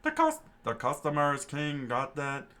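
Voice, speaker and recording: A man's voice: fairly high pitch, huge pitch swings, bright, forceful tone, pitched above the performer's own voice, heavily acted. A man doing a haughty navy lieutenant. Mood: indignant. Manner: slow, haughty, indignant drawl. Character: pompous arrogant male navy lieutenant. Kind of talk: fan reading of a comic, doing voices.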